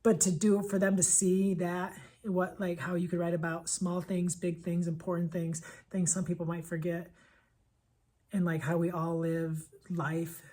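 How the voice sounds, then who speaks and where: A woman with a husky voice talking as an elementary school teacher in a casual vlog, enthusiastic and conversational, leaning on repetition for emphasis. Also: lowish voice, little pitch movement, unhurried, warm tone. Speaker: elementary school teacher presenting picture books. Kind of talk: casual vlog book recommendations.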